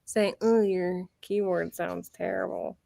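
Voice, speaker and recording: A woman's voice: on the low side, steady tone, slow, creaky, warm tone, no room sound, studio quality. A woman hosting a casual real estate chat, upbeat and chatty, correcting herself as she goes. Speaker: realtor and show host. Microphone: computer mic near a keyboard.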